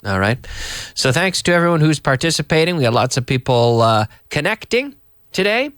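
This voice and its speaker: A man with a cold and a hoarse voice, teaching English live to viewers in a chatty, slow, explaining way. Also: a little high, big pitch swings, fairly bright tone, no room sound, studio quality. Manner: chatty, reads viewer messages aloud and explains slowly. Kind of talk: live online English lesson.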